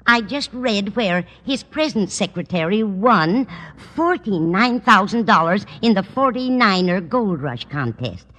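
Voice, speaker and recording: An actress playing a kindly, scatterbrained older landlady in an old radio comedy, dithery and well-meaning, with a husky voice. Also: medium pitch, big pitch swings, measured pace, hardly any pauses, a bit bright. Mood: eager, muddled. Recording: reverberant vintage radio recording.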